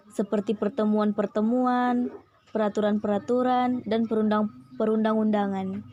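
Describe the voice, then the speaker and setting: Young woman reading a school lesson. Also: on the high side. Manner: steady listing of points. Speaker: student presenter.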